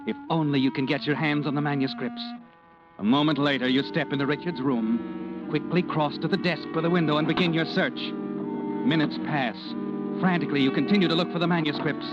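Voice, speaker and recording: An actor playing an ominous, all-knowing narrator of a 1940s radio mystery, urgent and taunting, with dramatic pauses. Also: male voice, fairly high pitch, close to an everyday voice. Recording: muffled old radio broadcast, loud organ music.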